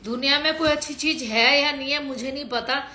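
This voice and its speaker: A hoarse woman from Haryana ranting crudely and combatively on a late-night live stream from home, swearing heavily into her phone. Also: high voice, fairly even intonation, a little fast, noisy background. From Haryana, India.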